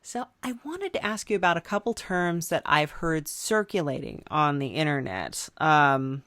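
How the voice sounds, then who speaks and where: A woman's voice: low voice, lively intonation, moderate pace, a bit bright, no room sound. A woman hosting a podcast, interviewing in a dry, playful, rambling way with many fillers. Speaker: podcast host. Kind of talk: improvised comedy interview.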